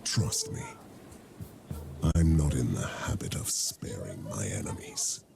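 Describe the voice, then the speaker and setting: A grim dark knight from a fantasy game, defiant and sardonic. His deep, gravelly voice moves through a wide range as he delivers blunt challenges. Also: animated, takes it slowly, heavily acted. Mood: irritated, defiant. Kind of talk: dramatic fantasy game voice acting. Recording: dramatic music behind the voice. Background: music.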